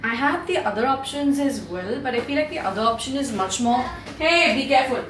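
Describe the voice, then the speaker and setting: A woman's voice: high-pitched, expressive, fairly quick, a bit bright, echoey room, slight background noise, poor audio. Chatty Indian woman in her thirties. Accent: Indian English. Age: 30s. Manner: chatty, candid, swears and mixes in Hindi. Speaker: vlogger. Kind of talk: casual chat to camera. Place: room at home, indoors.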